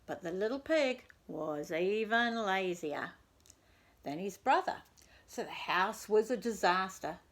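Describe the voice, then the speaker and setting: A woman storyteller reading a children's tale expressively and deliberately, as if to young listeners. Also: mid-range voice, expressive. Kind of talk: scripted children's story narration.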